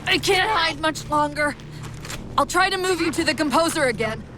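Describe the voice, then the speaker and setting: A woman's voice: expressive, moderate pace. An actress playing a loyal young female AI companion in a video game, urgent and directive as she breaks down. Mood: distressed. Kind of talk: dramatic video game voice acting. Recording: loud music and rumble behind voice.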